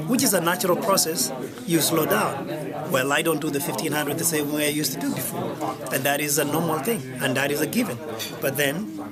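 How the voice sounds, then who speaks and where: Earnest man amid a loud crowd. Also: fairly high pitch. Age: 30s. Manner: earnest, rambling with many "you know" fillers. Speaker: interviewed athlete. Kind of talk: casual interview. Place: outdoor event before a race. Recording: loud crowd noise behind.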